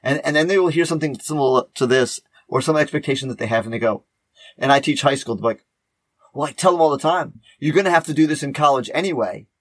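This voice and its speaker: A man in his fifties talking casually on a podcast as a teacher, animated, repeating words for emphasis and acting out remarks. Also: a little high, fast, no echo. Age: 50s. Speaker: high school teacher.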